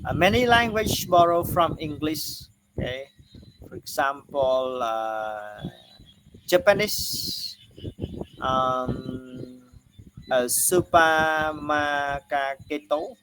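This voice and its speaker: Slow, halting Khmer-accented male lecturer. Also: a little high, a bit bright, slight background noise, rough recording. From Cambodia. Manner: slow, halting, many "uh" and "okay" fillers. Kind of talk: online lecture.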